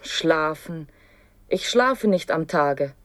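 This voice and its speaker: A woman's voice: low voice, lively intonation, takes it slowly, no echo, studio quality. An actress playing a hostile, aggrieved female internee in a radio play, curt, defensive and accusing. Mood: suspicious.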